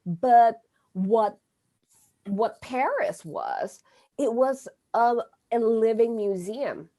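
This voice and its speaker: A woman whose first language is Indonesian, talking as an astrologer guest in an interview, halting, with many fillers and restarts. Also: on the high side, dark tone. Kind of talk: conversational interview.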